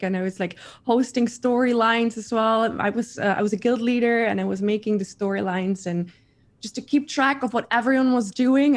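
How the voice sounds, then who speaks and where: A woman in her thirties from the Netherlands with a Dutch accent, chatting as an interview guest, lively and repeating her words. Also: medium pitch. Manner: animated, repeats words, laughs often. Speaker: guest streamer. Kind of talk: casual interview chat.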